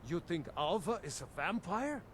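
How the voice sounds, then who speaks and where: An actor playing a grieving, disbelieving village husband in a fantasy video game, speaking in a halting, dramatic way. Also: male voice, high voice, big pitch swings, husky, exaggerated voice. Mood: disbelieving, defensive.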